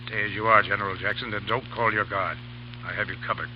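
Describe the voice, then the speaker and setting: An actor playing a proud, defiant pirate captain in a 1930s radio drama, talking in a terse, commanding way. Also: male voice, on the low side, steady tone, quick pace, natural voice. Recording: muffled old radio broadcast with hiss.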